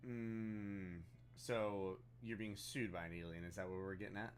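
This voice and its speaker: A man playing a tabletop game, bantering in character casually and comically, often thinking aloud in halting phrases. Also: fairly low pitch, fairly even intonation, clear, resonant tone.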